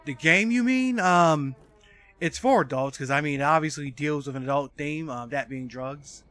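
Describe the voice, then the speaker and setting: A man's voice: a little high, normal speed, sharp tone. A man in his forties doing casual live gameplay commentary while chatting with viewers, swearing freely. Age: 40s. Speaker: video game streamer.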